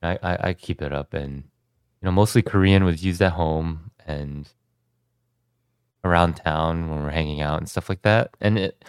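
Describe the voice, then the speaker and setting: A young American man with a very deep, smooth voice talks through his story in a loose, rambling way, restarting sentences as he goes. The sound is dry and close. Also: normal speed, no echo. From United States. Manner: relaxed, rambling, frequent restarts and fillers. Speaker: podcast guest. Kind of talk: casual conversation.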